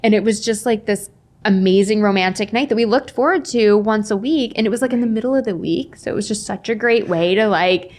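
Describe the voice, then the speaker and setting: A woman in her forties chatting casually as a podcast guest, telling personal stories in a candid, playful, animated way and acting out quotes. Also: mid-range voice, fairly quick. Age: 40s. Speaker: podcast guest, memoir author. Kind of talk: casual chatty interview storytelling.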